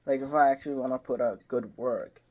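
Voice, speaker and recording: A man talking casually as a guest in an interview. His voice sits at an ordinary middle pitch, and it climbs and swells when a story gets exciting. His phrasing is loose and full of small hesitations. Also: a little fast, dull audio. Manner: animated storyteller, jumps higher and louder when excited. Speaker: voice actor guest.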